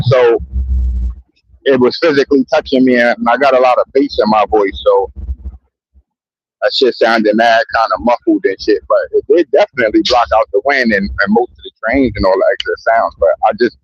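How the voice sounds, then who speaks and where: A man's voice: mid-range voice, husky, no echo, highs cut off. A man talking into a handheld mic outdoors in public, in a loose, rambling and profane monologue that keeps circling back with "you know what I mean.". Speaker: vlogger. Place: outdoors in a public area near trains.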